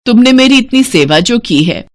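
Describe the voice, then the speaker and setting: A woman's voice: fairly high pitch, animated, moderate pace, natural voice. A woman voicing an elderly mother-in-law, contrite, grateful and affectionate, in a moral story. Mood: remorseful, grateful.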